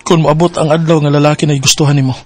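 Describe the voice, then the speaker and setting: Male actor as young boyfriend, Filipino Cebuano accent, raspy edge, lightly high pitch, earnest and wounded, radio romance drama. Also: flat delivery, fast, a little mellow, dry recording, close to an everyday voice. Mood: sad acceptance. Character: hurt, pleading young boyfriend, earnest and forgiving. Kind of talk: radio drama acting with first-person narration.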